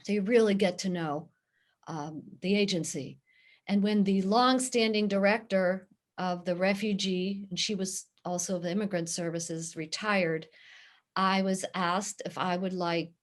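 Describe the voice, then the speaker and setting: A woman's voice: lowish voice, fairly even intonation, a little slow. Earnest middle-aged woman with Pittsburgh accent. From Pittsburgh, USA. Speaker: guest, nonprofit director. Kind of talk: interview answers.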